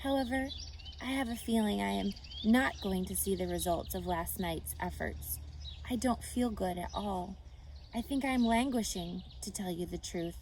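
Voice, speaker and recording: A woman voicing a gentle, wise, aging female spider in a storybook, weak and soft, speaking in measured, articulate phrases. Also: medium pitch, slight background noise, natural voice. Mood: sad, tired.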